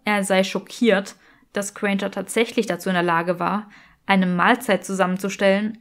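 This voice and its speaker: Woman narrating fiction, medium pitch. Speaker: audiobook reader.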